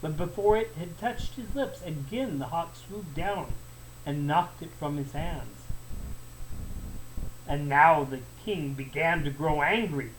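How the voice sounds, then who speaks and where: A man narrating a folktale as a storyteller, in an expressive, deliberate and emphatic way. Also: a little high, poor audio. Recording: faint background noise.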